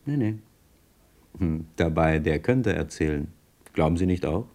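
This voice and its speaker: Admiring passenger-narrator, deep mellow tone, chatty, musing, confiding, radio drama. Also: male voice, lively intonation, a little slow, exaggerated voice. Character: curious, admiring male passenger who narrates to listener. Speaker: actor as narrator in a radio play. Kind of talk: German radio play, conversational narration.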